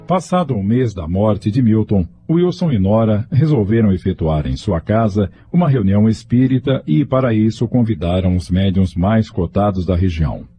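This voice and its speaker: Low-voiced male radio drama narrator. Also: expressive, moderate pace, few pauses, mellow, faint music behind.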